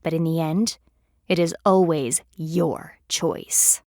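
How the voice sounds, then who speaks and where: A woman's voice: deep voice, steady tone, takes it slowly, a little mellow, no room sound, studio quality. A woman voicing a stern, proud female panda warrior in a video game, with formal, clipped and dryly sardonic diction. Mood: solemn, grave. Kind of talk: video game character voice lines.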